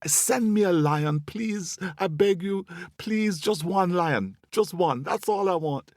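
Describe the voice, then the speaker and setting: A man's voice: sits high, slow, a little mellow, dry recording, studio quality, voice pushed up. A man voicing a tiny, bold male squirrel in a folktale, full of theatrical bravado. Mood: mock pleading. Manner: theatrical bravado, exclaiming and pleading.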